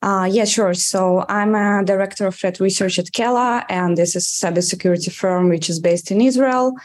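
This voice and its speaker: A woman in her thirties from Russia, speaking English with a Russian accent. She answers interview questions in a measured, explanatory way and leans on frequent filler pauses. Her voice sits at a middle pitch. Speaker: guest, threat research director.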